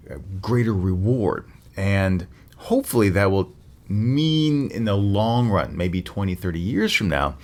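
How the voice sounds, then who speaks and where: A man hosting a news podcast from his office, sounding a little nasal from a slight cold and delivering the news in an upbeat way with frequent "uh" fillers. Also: lowish voice. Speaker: podcast host.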